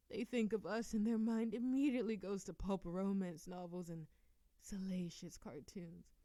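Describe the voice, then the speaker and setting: Woman acting a slow, sultry succubus demon princess. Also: medium pitch, mellow, no echo, close to an everyday voice. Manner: teasing, giggly, sultry drawl. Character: playful, seductive, teasing immortal succubus demon princess. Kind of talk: scripted audio roleplay acting.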